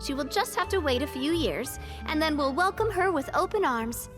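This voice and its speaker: A woman's voice: high-pitched, lively intonation, normal speed. A woman voicing a cheerful, genteel young female boss in an anime game. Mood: earnest. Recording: game music behind.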